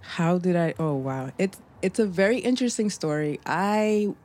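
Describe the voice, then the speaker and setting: Low-voiced woman, relaxed and reflective. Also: moderate pace. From New York, raised in the Bronx. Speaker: guest, mutual aid organizer. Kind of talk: casual interview conversation.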